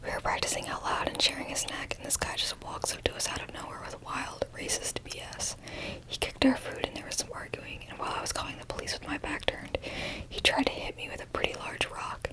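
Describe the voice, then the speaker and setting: A woman's voice: brisk, poor audio. A woman whispering close to the mic as she reads posts aloud, with wry asides in between. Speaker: ASMR reader. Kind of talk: close-up whispered ASMR reading.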